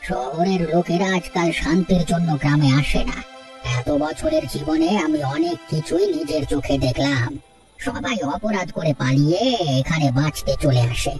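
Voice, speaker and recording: Woman as old village uncle, wary, stern, low-pitched, cartoon drama, music behind. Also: sing-song, normal speed, a little mellow, far from the mic. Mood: suspicious, stern.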